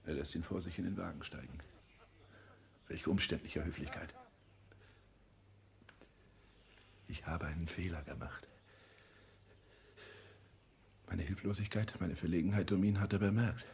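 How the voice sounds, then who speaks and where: An actor playing a calculating, anxious social climber in a 1960s German radio play, talking in agitated, clipped phrases. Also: male voice, low voice, steady tone, brisk, vocal fry, a little mellow, a little hiss or hum. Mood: anxious, self-doubting. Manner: agitated, self-questioning monologue in clipped phrases.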